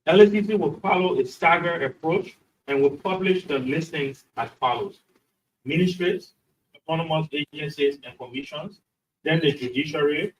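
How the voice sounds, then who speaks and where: A man's voice: fairly high pitch, moderate pace, a little hiss or hum. A man reading a formal statement as an official. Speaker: anti-corruption commission official. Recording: slightly distant microphone.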